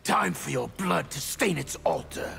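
Thuggish war-hungry soldier, super gravelly and rough, menacing, music behind, video game. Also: male voice, fairly high pitch, expressive, a little slow, pushed and bright, heavily acted. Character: bloodthirsty, brutish male military warrior. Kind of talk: video game fight dialogue.

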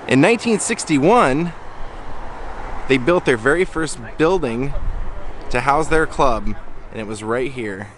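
A chatty male vlogger, talking into a camera held at arm's length on a sunny street, his voice just starting to wear thin. Steady traffic and city noise sit clearly behind him. His pitch sits in an ordinary middle range. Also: measured pace, long pauses. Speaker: travel vlogger. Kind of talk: casual vlog narration. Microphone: handheld camera at arm's length.

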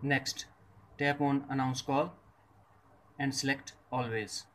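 A man narrates a scripted tech tutorial at a slow pace, with a mid-pitched voice. He gives instructions step by step, breaking them into short, separate phrases. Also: fairly even intonation, fairly bright tone, a little hiss or hum. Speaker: tutorial presenter.

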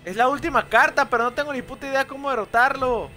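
A man's voice: extremely high pitch, fast, sharp tone. A young man with a Mexican accent, casually commenting on a game and chatting with viewers. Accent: Mexican Spanish. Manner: chatty, swears when frustrated, reads game lines aloud. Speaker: streamer. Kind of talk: casual gameplay commentary, reading chat. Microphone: headset. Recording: game music playing behind.

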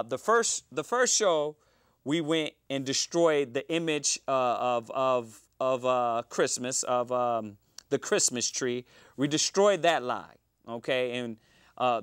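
A man in his thirties hosts a live cable talk show from a small studio. His voice is smooth and clear at a middling pitch, and the sound is dry and close. He rambles, restarting often with fillers. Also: fairly bright tone, no room sound. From Houston, Texas. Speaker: host.

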